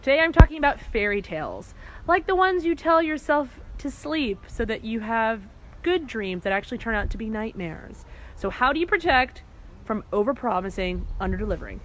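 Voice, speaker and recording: A woman with a fairly high voice talks casually and emphatically, like someone giving advice in a vlog. Her delivery is conversational and direct. Clear background noise runs under her speech. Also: big pitch swings, moderate pace, fairly bright tone, rough recording. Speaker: relationship advice vlogger. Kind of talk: casual advice talk to camera.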